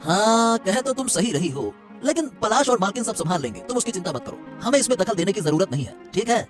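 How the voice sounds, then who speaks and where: A man voicing a meddling, placating servant of a rich household in a cartoon story, excitable and chatty. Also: high voice, brisk, faint music behind. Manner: excitable, chatty, brushing off worries. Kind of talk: Hindi cartoon story dialogue.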